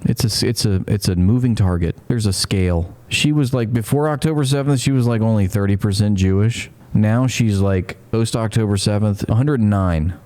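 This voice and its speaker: A man's voice: on the low side, moderate pace, hardly any pauses, mellow. A man giving sarcastic reaction commentary, full of mocking, sardonic asides.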